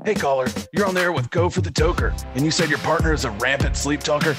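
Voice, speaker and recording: A man's voice: a little high, fast, over music, natural voice. A man playing a slangy, easily amazed stoner host of a comic call-in radio show. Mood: amazed, amused.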